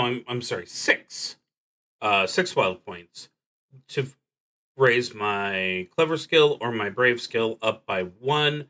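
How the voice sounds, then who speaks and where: A man thinking aloud as he plays a solo tabletop roleplaying game on a stream, talking in a deliberate, halting way with dry asides. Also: medium pitch, a bit bright. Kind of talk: live play narration, thinking aloud.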